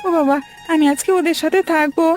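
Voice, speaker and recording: A woman's voice: high-pitched, steady tone, fairly quick, a little mellow, faint music behind, lightly acted. A woman voicing an eager little child in a cartoon. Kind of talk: Bengali cartoon dubbing.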